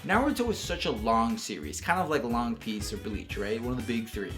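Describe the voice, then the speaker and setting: A man's voice: medium pitch, lively intonation, fairly quick, a bit bright. A man giving casual, enthusiastic video game commentary as a reviewer, emphatic and repeating phrases for stress. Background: background music.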